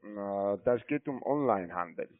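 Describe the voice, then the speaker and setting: A man with a foreign accent on a sales call, speaking hesitantly in halting non-native phrasing. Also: lowish voice, animated, dry recording. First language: not native German speaker. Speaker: cold-calling online trading agent. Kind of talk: unscripted phone sales call. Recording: tinny narrow phone line.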